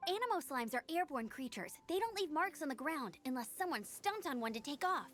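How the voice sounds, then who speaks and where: Very high, spirited young knight scout. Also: female voice, big pitch swings, brisk, fairly bright tone, soft background music. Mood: focused. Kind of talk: video game character voice acting.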